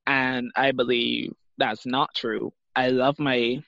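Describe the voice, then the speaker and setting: A man's voice: mid-range voice, flat delivery, slow pace, vocal fry, bright, forceful tone, no room sound. A man with a Barbadian accent, speaking earnestly in a class discussion over a video call. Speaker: student in a linguistics discussion. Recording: dry, dull lo-fi call audio.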